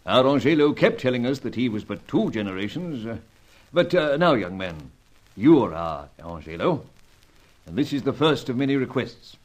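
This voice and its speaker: A man playing a courteous, anxious senior diplomat and spy chief in a radio adventure serial, speaking formally and hesitantly in an old-fashioned gentlemanly way. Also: medium pitch, big pitch swings, moderate pace, natural voice. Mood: solemn, courteous.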